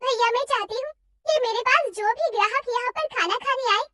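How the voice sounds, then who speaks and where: A woman's voice: extremely high pitch, fairly quick, sharp tone, no room sound. A woman voicing a hardworking, devoted young wife in a cartoon story, speaking earnestly and reassuringly. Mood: cheerful.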